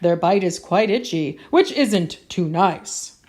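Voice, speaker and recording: Slow, very animated woman reading a storybook. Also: on the low side. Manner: theatrical, emphatic, playful with sound words. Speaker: children's book reader. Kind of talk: expressive picture-book read-aloud.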